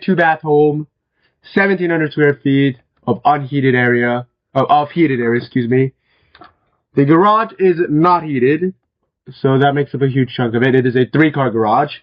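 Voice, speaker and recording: A young man with a hoarse, worn-out voice, chatting casually as he walks viewers through a house in a video game, with many fillers and throat clearings. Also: a little high, highs cut off. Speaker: gaming video host. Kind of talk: casual game walkthrough commentary.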